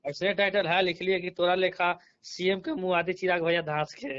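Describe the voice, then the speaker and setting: A man's voice: high-pitched, fairly even intonation, moderate pace, a bit bright. A man with a Bihari accent chatting casually and coarsely on a recorded phone call.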